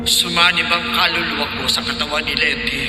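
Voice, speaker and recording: An actress in a horror radio drama playing a vengeful grandmother's ghost that possesses a young woman, speaking with slow, menacing accusation. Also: low voice, steady tone, close to an everyday voice. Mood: cold, menacing. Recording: echoey reverberant studio effect, music behind.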